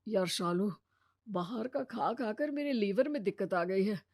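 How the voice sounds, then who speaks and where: A woman's voice: medium pitch, lively intonation, unhurried, a little mellow, no echo, clean studio sound. A woman plays a young husband in a moral-story cartoon. He sounds fed up and complains with pointed sarcasm. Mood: complaining.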